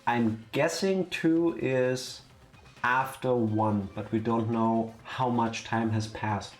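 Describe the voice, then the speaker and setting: A man with a German accent co-hosting a game stream, making dry, deadpan jokes. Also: mid-range voice, takes it slowly. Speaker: let's play co-host. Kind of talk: casual gaming stream chat. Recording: roomy echo with music behind.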